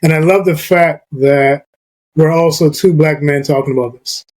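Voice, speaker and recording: A man from Barbados hosting a relaxed podcast chat, his voice sitting a little high for a man. He talks in a loose, rambling way, full of "like" and "you know". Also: normal speed. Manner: conversational, many fillers like "you know" and "like". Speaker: podcast host. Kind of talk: casual podcast conversation.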